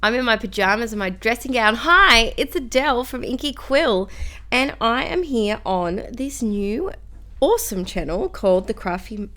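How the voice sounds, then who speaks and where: A woman's voice: fairly high pitch, bright, forceful tone. A young Australian woman chatting casually through a craft video, rambling and self-deprecating. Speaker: crafter and school teacher.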